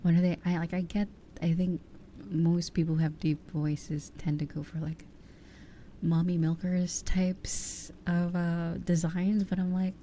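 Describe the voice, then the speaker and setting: A woman in her twenties chatting casually as an ASMR streamer in a soft, breathy voice that often drops to a whisper, rambling candidly close to the microphone. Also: fairly low pitch, flat delivery, a little slow, a little hiss or hum. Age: 20s. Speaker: ASMR livestream host. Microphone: close ear-to-ear ASMR microphone.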